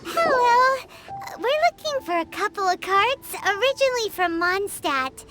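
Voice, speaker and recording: Squeaky, excitable little fairy sidekick. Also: female voice, a little slow. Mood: eager. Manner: eager, exclaiming, childlike. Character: small chirpy excitable female fairy companion. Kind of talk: video game voice acting. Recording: loud game music behind.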